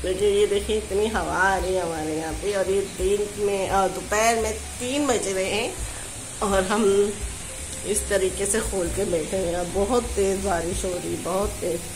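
A woman's voice: medium pitch, steady tone, normal speed. A woman in her thirties with a sore throat, chatting and laughing as she films her home on a phone. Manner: chatty, laughs often, talks to the viewers. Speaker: vlogger. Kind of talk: casual home vlog chat. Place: apartment by a window, rain outside. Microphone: handheld phone camera. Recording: phone recording with loud rain noise.

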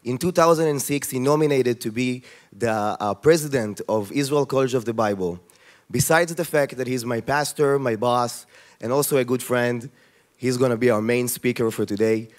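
Man introducing a speaker, halting. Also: mid-range voice. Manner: hesitant, many fillers. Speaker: event moderator. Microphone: handheld mic.

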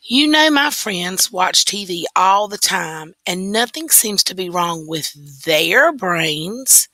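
A woman's voice: fairly low pitch, very animated, takes it slowly, pushed and bright, no echo, exaggerated voice. A woman reading as a TV-loving young boy. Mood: arguing. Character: young boy who loves watching TV.

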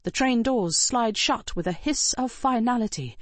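A woman reading scripted first-person fiction as an audiobook narrator, in an expressive, dramatic way. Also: on the high side, normal speed, dry recording.

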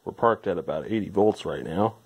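A man with a fairly low voice talks through hands-on repair work at an indoor workbench. He sounds like a hobbyist, informal and musing aloud as he goes. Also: fairly quick, breathy edge. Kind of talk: casual hands-on repair commentary.

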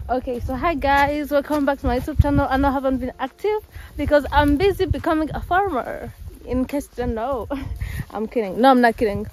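A young woman with a high voice chats playfully to her camera. She is outdoors on a farm, slipping between languages as she talks and laughing often. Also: normal speed, darkish tone, poor audio. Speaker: vlogger. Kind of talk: casual vlog chat.

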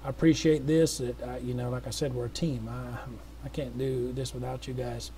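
A man's voice: medium pitch, level tone, moderate pace, a crowd in the background. Earnest rambling American-sounding man. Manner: rambling, earnest, many restarts and fillers. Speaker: missionary making an appeal video. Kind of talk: casual spoken appeal to viewers.

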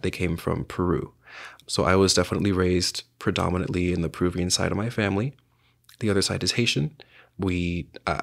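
A man's voice: low-pitched, gravelly. A young man from south Florida, a writer and visual artist, answering podcast questions in a reflective, hesitant way with many fillers. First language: Spanish. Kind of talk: podcast interview.

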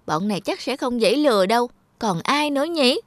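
A woman voicing a mischievous, expressive little boy in a cartoon dub, with a childlike manner. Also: fairly high pitch, a little fast, dry recording, clean studio sound, lightly acted. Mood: scheming. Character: mischievous little boy prankster who turns remorseful. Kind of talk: cartoon dubbing. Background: music.

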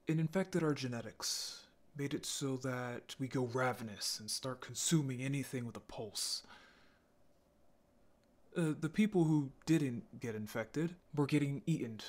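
Man acting shy awkward zombie boyfriend type for roleplay audio, slow talker, stammers a lot, gentle n flustered. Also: mid-range voice, natural voice. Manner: stammering, hesitant. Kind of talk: intimate roleplay audio drama.

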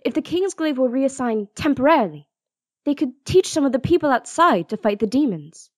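A woman's voice: high-pitched, lively intonation, moderate pace, dry recording, clean studio sound. A woman playing an earnest, thoughtful young princess in an audio drama, polite and articulate. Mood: politely hesitant. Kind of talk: audio drama acting and first-person narration.

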